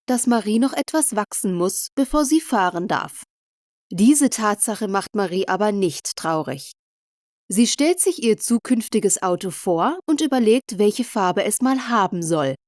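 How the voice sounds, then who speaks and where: A woman's voice: medium pitch, no echo. Woman reading a simple story, dry studio sound. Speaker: narrator of language-learning stories. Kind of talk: scripted narration of a simple story for German learners.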